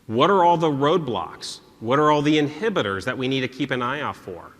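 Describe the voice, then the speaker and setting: Male presenter in his 30s, animated pitch, emphatic and questioning, headset mic, roomy hall reverb. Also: brisk. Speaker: conference presenter. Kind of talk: conference presentation. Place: large conference stage, indoors.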